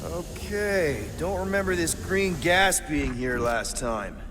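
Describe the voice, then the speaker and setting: An actor playing a cocky but earnest space outlaw leader in a video game, with casual, slangy delivery. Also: male voice, fairly high pitch, animated, slow pace, long reverb tail, noisy background. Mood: flippant. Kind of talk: video game cutscene voice acting.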